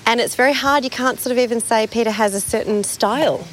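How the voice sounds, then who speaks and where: A woman's voice: fairly high pitch, fast talker, low-quality recording. Chatty woman host, faint room noise. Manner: chatty, laughs often. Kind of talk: casual interview chat.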